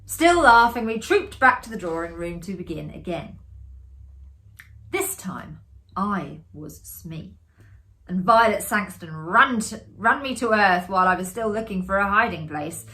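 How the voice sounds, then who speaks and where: A woman giving a measured, dramatic performed reading of a classic ghost story, with expressive pauses. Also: mid-range voice.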